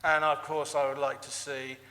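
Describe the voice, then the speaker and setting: A man's voice: on the high side, flat delivery, normal speed, bright, forceful tone. A man giving a hustings speech as an election candidate in a formal, wordy manner. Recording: echoey hall with long reverb.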